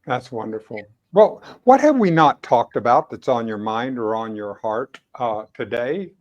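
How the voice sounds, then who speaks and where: A male podcast host with a mid-range voice in a casual interview. He is effusive and encouraging toward his guest. His delivery is halting, with phrases repeated and reworded as he talks. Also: mid-range voice, animated, lo-fi sound.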